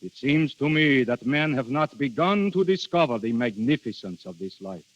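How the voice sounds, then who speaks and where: An actor in 1930s radio drama playing Death posing as a courtly, world-weary foreign prince, speaking formally and musingly. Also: male voice, mid-range voice, expressive, natural voice. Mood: wondering, disdainful. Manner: formal, musing, deliberate with hesitations. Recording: dull vintage 1930s radio recording.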